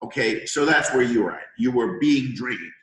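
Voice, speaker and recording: A middle-aged man with a hoarse voice from a cold, hosting an interview as a healer and explaining things at length with analogies. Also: medium pitch, animated, moderate pace, fairly bright tone, roomy sound, low-quality recording. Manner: confident, lecturing with analogies. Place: room in Thailand.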